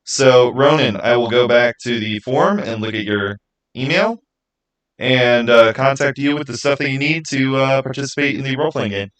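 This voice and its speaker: A man's voice: mid-range voice, normal speed, a bit bright. A man hosting a livestream, chatting casually and informally straight to his viewers. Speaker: live stream host running a giveaway.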